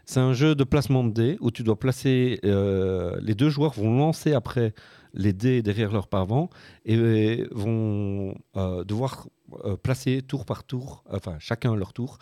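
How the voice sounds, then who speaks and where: A man in his forties explaining board games on a casual podcast, in a hesitant way with many filled pauses. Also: fairly low pitch, dark tone, dry recording. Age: 40s. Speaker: board game podcast panelist.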